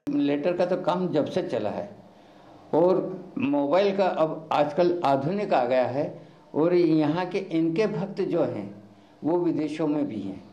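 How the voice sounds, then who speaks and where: A man's voice: fairly high pitch, expressive, slow pace, warm tone. A man, a temple priest, giving informal answers in an interview. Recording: echoey, muffled on-location interview.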